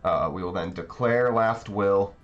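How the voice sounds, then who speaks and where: A man's voice: lowish voice, a little slow. A man giving casual commentary on his card game matches, thinking aloud and explaining his plays, with a slightly congested voice. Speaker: card game channel host. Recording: slightly dull recording, faint background music.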